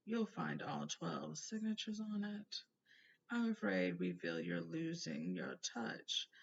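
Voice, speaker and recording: A woman acts out a cold storybook villain, an aristocratic man with a slow, smooth drawl. He is quiet, breathy and sneering, lingering condescendingly on his words. The recording is dull with faint noise. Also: low voice, exaggerated voice. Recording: noisy, dull home recording.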